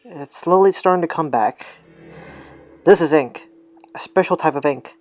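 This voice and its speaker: A man playing a calm, thoughtful senior police investigator in an amateur audio drama. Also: fairly high pitch, moderate pace, close to an everyday voice. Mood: thoughtful, measured.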